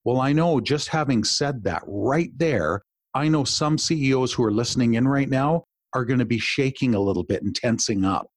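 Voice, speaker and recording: A man's voice: mid-range voice, moderate pace, dry recording. Man hosting a podcast interview, dry. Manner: enthusiastic, warm-worded, long wind-up questions. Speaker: podcast host and executive coach.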